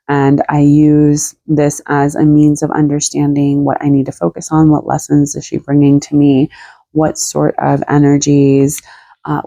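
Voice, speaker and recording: A woman with a cold, a bit raspy and congested, talking casually about her spiritual practice with many fillers. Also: sits low, dark tone, no room sound. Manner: rambling, with many fillers and hedges. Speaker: tarot reader and spiritual content creator. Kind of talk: casual talk to camera.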